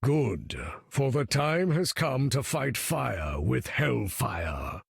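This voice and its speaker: An actor playing a grave, proud older mutant leader, gravelly and measured like an orator, in a video game. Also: male voice, medium pitch, lively intonation, no echo, studio quality, heavily acted. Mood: stern, commanding. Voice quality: gravelly, weathered. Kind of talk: video game character acting.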